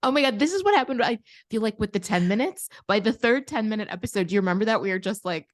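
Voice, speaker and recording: A woman's voice: medium pitch, expressive, fast talker. A woman co-hosting a podcast, talking casually and reflectively with her friend. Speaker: podcast co-host.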